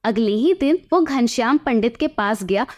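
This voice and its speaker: A woman's voice: on the high side, expressive, moderate pace, darkish tone. A woman reading a scripted moral tale as its storyteller.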